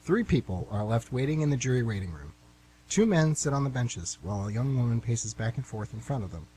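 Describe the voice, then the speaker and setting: A man's voice: mid-range voice, very animated, a little fast, dark tone, poor audio. A man hosting an amateur radio theatre show, chatty and informal as he introduces and narrates. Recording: amateur radio, faint background hum.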